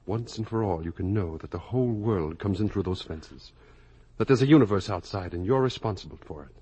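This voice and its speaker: An actor playing an earnest, morally outraged young son in 1950s radio drama, with a husky voice and intense delivery. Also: male voice, low-pitched, animated, brisk, mellow. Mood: outraged, pleading. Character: earnest, morally outraged grown son confronting his parents.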